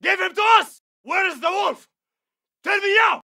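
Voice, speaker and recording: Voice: very high-pitched, very slow, gravelly, bright, forceful tone, shouting, full character voice. An actor playing a panicked, defiant militant fighter under siege in a video game. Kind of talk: video game battle shouting.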